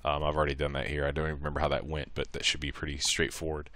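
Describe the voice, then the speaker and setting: A man's voice: a bass voice, completely flat delivery, racing, heavy background noise. A man presenting a modding tutorial as a casual screen-recorded walkthrough, explaining each step in an informal, offhand way.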